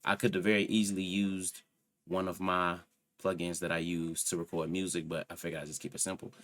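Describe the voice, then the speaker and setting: A man with a very deep voice hosts his channel and talks through a microphone review in a loose, self-assured, rambling way. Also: flat delivery, brisk, a bit bright. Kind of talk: casual tech review talk. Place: home computer setup with a loud PC fan. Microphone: desktop USB microphone.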